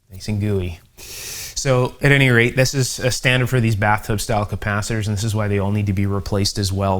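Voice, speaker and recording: A man giving an unhurried, methodical hands-on electronics repair demonstration, with conversational asides as he explains each step. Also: lowish voice. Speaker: host, electronics repair instructor. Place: indoor electronics workshop.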